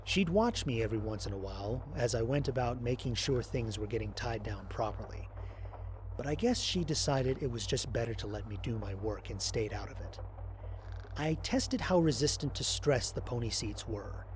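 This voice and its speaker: A man playing a weary, wry human astronaut narrating his mission log in an audio drama, with a dry, expressive storytelling delivery. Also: medium pitch, normal speed, slight background noise, natural voice. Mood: tired, matter-of-fact, then businesslike.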